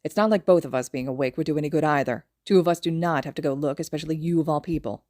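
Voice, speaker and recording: A woman's voice: deep voice, expressive, fast, mellow, no echo, clean studio sound. Woman voicing an exasperated, weary older hero. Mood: exasperated, insistent. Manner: exasperated, insistent. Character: irritated, weary older man, retired hero.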